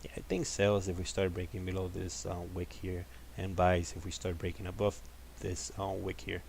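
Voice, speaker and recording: A man with a deep voice hosting a live trading stream, talking in a calm, laid-back, casual way. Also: moderate pace, vocal fry, a little hiss or hum. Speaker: host of a live trading session. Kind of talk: casual livestream market commentary.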